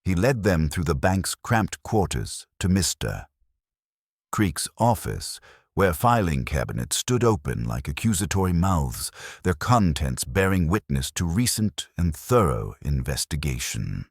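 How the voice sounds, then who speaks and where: A man narrates a scripted mystery in a measured, literary way. His voice is exceptionally deep, yet it moves with animated intonation. The sound is dry, with very little room around it. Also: husky, dry recording. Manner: measured, formal, literary delivery.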